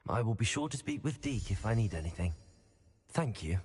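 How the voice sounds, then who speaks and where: A man's voice: on the low side, lively intonation, fairly quick, a little mellow, slightly rough. An actor playing a polite, eager young male student wizard in a video game, courteous and earnest. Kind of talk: scripted video game dialogue. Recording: long hall-like reverb.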